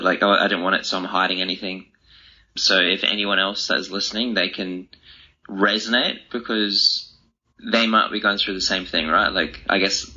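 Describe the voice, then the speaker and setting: A young man answering interview questions casually and earnestly, often halting and rephrasing himself. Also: low voice, bright, forceful tone, slightly rough, highs cut off. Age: young adult. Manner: earnest, halting, self-correcting. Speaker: interview guest, author.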